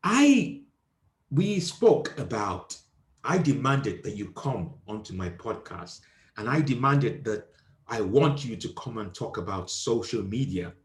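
Guy doing a fake british accent, pompous president bit, deadpan, sounds echoey like a bare room. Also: medium pitch, measured pace. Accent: put-on British accent. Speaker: podcast host in comedic character as a self-styled president. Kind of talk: deadpan comedic interview. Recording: roomy echo.

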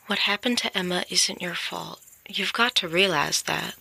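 A sympathetic woman in a naturalistic audio drama. She talks gently and soothingly in a bright voice with plenty of gravelly creak and rasp. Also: lowish voice, moderate pace, full character voice. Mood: reassuring. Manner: gentle, reassuring. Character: sympathetic, soothing woman confiding with a troubled man.

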